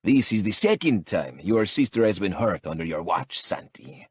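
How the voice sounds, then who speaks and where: A man's voice: on the low side, sing-song, normal speed, breathy edge, no echo, heavily acted. A man voicing a cold, menacing older crime boss with a Mexican accent who speaks in a growl, matter-of-fact.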